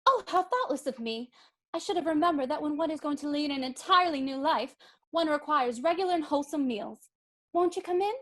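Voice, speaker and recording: Woman doing a high girlish society miss, prim and frank, reading a stage comedy. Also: brisk, fairly bright tone. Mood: innocently prim. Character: prim, innocent, frank young English society girl.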